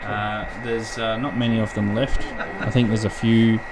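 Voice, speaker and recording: A man hosting a radio hobby livestream, explaining technical things casually and haltingly. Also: fairly low pitch, flat delivery, noisy background. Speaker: livestream host at a ham radio club. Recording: loud busy club room chatter behind.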